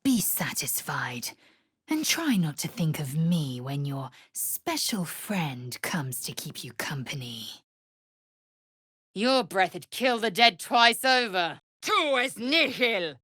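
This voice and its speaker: An actress voicing a fierce, haughty alien warrior woman in a video game, with a husky voice and an imperious, clipped, disdainful manner. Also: on the low side, very animated, slow pace, sharp tone, no room sound, clean studio sound, heavily acted. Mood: quiet menace, then furious. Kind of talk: video game character voice lines.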